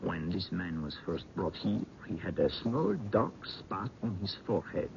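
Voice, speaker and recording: An earnest, inquisitive doctor in a vintage radio mystery. His deep voice swings expressively through formal, halting phrases, over a dull, hissy broadcast recording. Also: expressive, gravelly, exaggerated voice. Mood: clinical, grave. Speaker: actor in a radio mystery drama. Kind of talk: 1970s radio drama acting.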